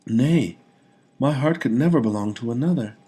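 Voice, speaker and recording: A man's voice: medium pitch, animated, normal speed, mellow, breathy edge, pitched above the performer's own voice. A man voicing a young noblewoman in a fantasy story, a devoted lover who speaks earnestly and gushes with hope. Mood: earnest devotion. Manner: gushing, earnest, full of hope.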